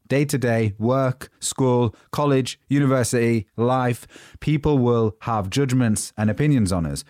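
A man hosting a motivational podcast, a bit croaky from a cold, talking in an informal, chatty, unscripted way. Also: on the low side, darkish tone, no room sound, studio quality. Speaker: podcast host. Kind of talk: motivational talk.

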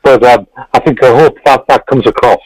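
Croaky, passionate author. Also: male voice, medium pitch, moderate pace, rough recording. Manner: passionate, repeats words. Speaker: guest author. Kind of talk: casual interview chat.

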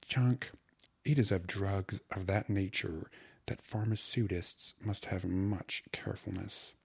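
A man voicing a careful, pompous druggist with stilted, formal and deliberate speech in an audiobook. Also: low voice, steady tone, creaky, darkish tone, breathy edge, dry recording, full character voice. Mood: measured, solemn. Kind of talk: audiobook character voice.

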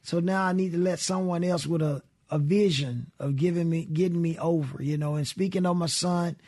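A middle-aged man from with a raspy voice, telling stories in a relaxed radio interview. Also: a little high. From Mississippi. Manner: relaxed storytelling with Southern grammar and drawn-out pauses. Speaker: guest blues musician.

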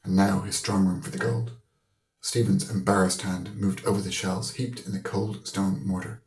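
A man's voice: sits low, vocal fry, darkish tone. A man with an Irish accent reading literary narration as an audiobook reader. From Ireland. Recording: roomy echo.

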